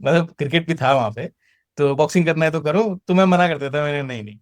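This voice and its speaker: A man's voice: a little high, quick pace, no echo. A man with an Indian accent telling personal stories in casual chat, acting out dialogue and mixing Hindi with English. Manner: animated retelling with acted-out dialogue, switching between Hindi and English. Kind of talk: casual conversational storytelling.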